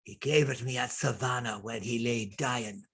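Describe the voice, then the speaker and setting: An actor playing a gruff, haunted old sea captain in a radio play reading, husky and gravelly, with brooding, salty sailor talk. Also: male voice, medium pitch, moderate pace, fairly bright tone. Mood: feverish, secretive.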